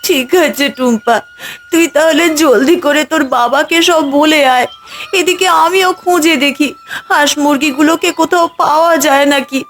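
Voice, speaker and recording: A woman's voice: high voice, normal speed, a little hiss or hum, close to an everyday voice. A woman voicing a distraught village mother in a cartoon, wailing and pleading over her stolen poultry.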